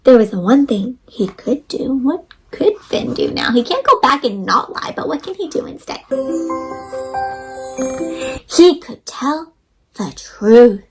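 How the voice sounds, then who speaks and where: A woman hosting an interactive storybook read-aloud for children, speaking in an animated way and putting questions directly to her young listeners. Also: fairly high pitch, slow pace, pauses often, soft background music.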